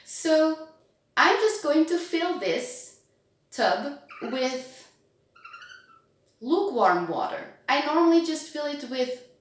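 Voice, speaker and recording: A woman's voice: sits high, big pitch swings, slow, pauses often. A woman in her thirties from Belgium, chatting casually through a home tutorial vlog, bubbly and talking to her pet owl and viewers. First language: Dutch. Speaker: owl-keeping vlogger. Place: home kitchen, indoors. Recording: very echoey room.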